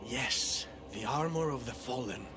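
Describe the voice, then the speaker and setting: An actor playing an oily, falsely humble traitor in a game cutscene, speaking formally and smoothly, slipping into near-whispers. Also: male voice, mid-range voice, lightly acted. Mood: smooth, scheming. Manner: smooth, ingratiating, formal, deliberate. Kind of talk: video game cutscene acting. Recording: loud music behind.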